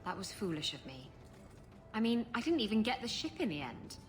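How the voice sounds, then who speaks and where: A brash, flirty female pirate captain, voiced by an actress talks in a saucy, playful way with a very lively melody. Faint music runs behind this video game dialogue. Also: mid-range voice, fairly quick, lightly acted. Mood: teasing. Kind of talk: video game cutscene acting.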